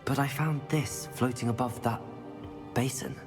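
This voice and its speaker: A woman's voice: rumbling low, steady tone, moderate pace, over music. An actress playing an earnest, curious young witch student in a video game, polite. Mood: puzzled. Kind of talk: video game cutscene acting.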